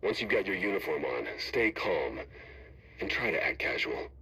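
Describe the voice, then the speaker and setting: An actor playing a calm undercover handler in a video game, husky, steady and reassuring. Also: male voice, low-pitched, moderate pace, heavily acted, rough recording. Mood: calm, watchful. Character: calm male handler coaching an undercover agent.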